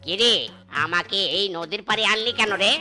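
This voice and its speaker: A woman's voice: mid-range voice, moderate pace, sharp tone. A woman voicing a crude, sneering male thief in a cartoon who swears and mocks. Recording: cartoon music behind.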